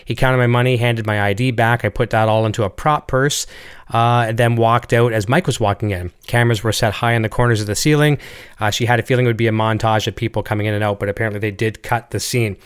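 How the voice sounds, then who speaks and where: Fast-talking Canadian host. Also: male voice, lowish voice, steady tone, clean tone. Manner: chatty, enthusiastic, reads viewer comments. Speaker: show host. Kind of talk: casual interview hosting.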